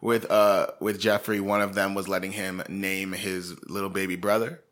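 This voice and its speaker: A man with a fairly low voice and a bright, forward tone chats about a true-crime case. He rambles loosely, full of "like" and "um," with easy laughs between thoughts. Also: little pitch movement, normal speed. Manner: chatty, rambling, lots of fillers and laughs. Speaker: podcast co-host. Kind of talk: casual true-crime chat.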